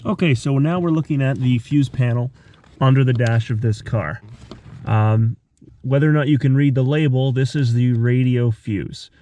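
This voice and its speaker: A man's voice: mid-range voice, moderate pace, mellow, a little hiss or hum. A man narrating a hands-on DIY tutorial as its host, explaining step by step and thinking aloud as he works. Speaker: DIY car electronics video host.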